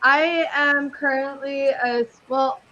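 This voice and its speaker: A young woman with a Californian accent chats brightly as an online English tutor, her voice sitting a little high. She keeps it casual and friendly, dropping slang as she goes. The video-call sound is slightly dull, with a faint hiss underneath. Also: fairly even intonation, slow. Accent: Californian American. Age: young adult. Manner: upbeat, chatty, uses casual slang.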